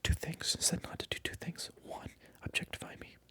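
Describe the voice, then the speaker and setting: A man whispering through a comedic ASMR role-play, mock-indignant and repeating his phrases over and over. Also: a little fast. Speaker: ASMR creator.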